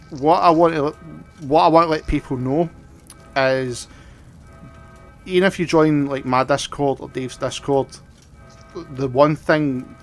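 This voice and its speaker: A man hosting a casual livestream chat, tipsy from drinking, rambling along and swearing freely. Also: medium pitch. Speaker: livestream host.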